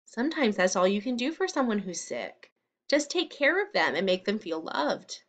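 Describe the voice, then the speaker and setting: A woman's voice: medium pitch, sing-song, moderate pace, fairly bright tone. A woman reading a children's story aloud like a teacher, speaking expressively and explaining things simply to young listeners.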